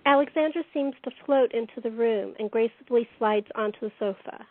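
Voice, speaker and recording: A woman's voice: on the high side, fairly quick, lightly acted. A woman narrating a radio play, reading out scripted stage directions. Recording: tinny phone-like lo-fi audio.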